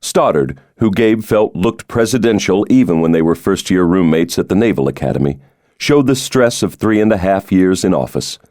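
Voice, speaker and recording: A man's voice: low voice, expressive, moderate pace, darkish tone, no room sound, studio quality. A man reading a thriller novel aloud as a scripted audiobook narration.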